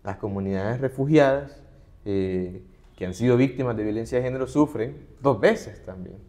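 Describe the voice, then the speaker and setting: A man's voice: mid-range voice, normal speed, dark tone. A middle-aged man, a human rights advocate, earnestly explaining points as a guest in an interview. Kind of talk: conversational interview.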